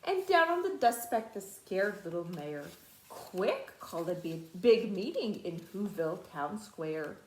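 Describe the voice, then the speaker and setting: A woman teacher reading a children's storybook aloud to students in an expressive, dramatic way. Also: mid-range voice, unhurried, reverberant, low-quality recording.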